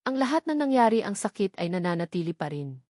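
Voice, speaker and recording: A woman's voice: mid-range voice, sing-song, quick pace, a little mellow, dry recording, studio quality, lightly acted. A woman voicing a determined, wounded policewoman and mother in a romantic audio drama, with earnest delivery. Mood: pained.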